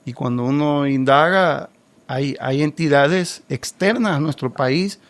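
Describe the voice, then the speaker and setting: A man's voice: on the high side, measured pace. Hesitant man moderating a panel. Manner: hesitant, stammering. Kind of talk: casual discussion.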